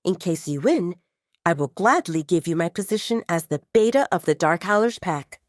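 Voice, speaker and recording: A woman voicing a stern, protective female werewolf second-in-command in an audio drama, firm and sharp-tongued. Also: lowish voice, expressive, normal speed, dry recording, clean studio sound, lightly acted. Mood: snapping, challenging. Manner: firm, sarcastic.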